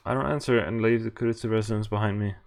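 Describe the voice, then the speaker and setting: Man reading a game aloud, low-voiced. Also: steady tone, fast, gravelly, darkish tone, a little hiss or hum. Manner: reads aloud with stumbles and asides. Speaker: streamer reading a visual novel. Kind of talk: casual game narration. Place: at home, streaming. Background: faint game music.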